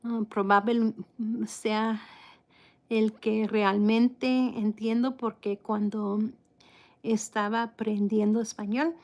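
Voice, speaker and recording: A woman from the United States hosting a casual live chat, with slightly impeded articulation and a halting, unhurried manner. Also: medium pitch, level tone, darkish tone. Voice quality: slightly impeded articulation from a false tooth and past stroke. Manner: halting, unhurried, greeting viewers by name. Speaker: live stream host.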